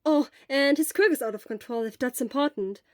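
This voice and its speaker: A woman voicing an eager, loud teenage schoolboy in an audiobook-style story, talking in an excitable gush. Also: sits high, no room sound, studio quality, pitched above the performer's own voice, natural voice. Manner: gushing, excitable, rapid. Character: eager, loud, fast-gushing teenage boy student.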